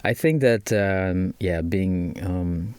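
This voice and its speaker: A man's voice: low voice, slow, darkish tone, clean tone, no room sound. A Malaysian man in his thirties, a business guest in an interview, speaking with a Malaysian accent and many fillers and restarts. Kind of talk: casual interview talk.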